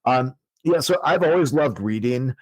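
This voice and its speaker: A man's voice: medium pitch, animated, moderate pace, no room sound, poor audio. A man in his thirties, an author guest telling his story in a casual, rambling way with many fillers and easy swearing. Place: podcast studio.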